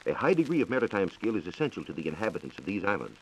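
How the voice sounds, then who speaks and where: An American man giving a scripted 1940s radio science talk as a reporter, in a measured, formal lecturing manner. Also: sits low, very animated, a little hiss or hum, rough recording. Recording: 1940s radio broadcast recording.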